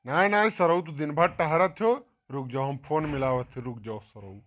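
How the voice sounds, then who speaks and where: A man's voice: a little high, moderate pace, a bit bright, close to an everyday voice. A man voicing an accusing, tattling village farmer in a comic cartoon, insistent and repetitive, with an Awadhi accent. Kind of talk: amateur comic cartoon dialogue.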